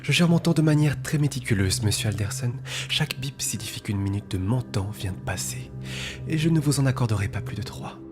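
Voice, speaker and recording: A man acting in a dubbed TV thriller as a cold, condescending, time-obsessed hacker leader, speaking in a breathy, hushed, precise and formal way. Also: mid-range voice, animated, fairly quick, natural voice. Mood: cold, impatient. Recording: loud music under the voice.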